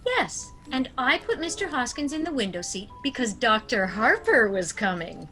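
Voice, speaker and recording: Actress as prim, unrepentant little old lady for radio farce; bright, high voice, very expressive, genteel. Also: moderate pace, soft background music. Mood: primly soothing. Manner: genteel, gently scolding. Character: sweet, prim, cheerfully unrepentant elderly aunt. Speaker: actor. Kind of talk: theatrical radio comedy acting.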